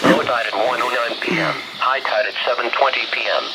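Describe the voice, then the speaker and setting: A man's automated announcer voice reads a forecast over the radio. It has an average pitch and measured, synthetic phrasing, with clear noise throughout the recording. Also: steady tone. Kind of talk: scripted forecast readout.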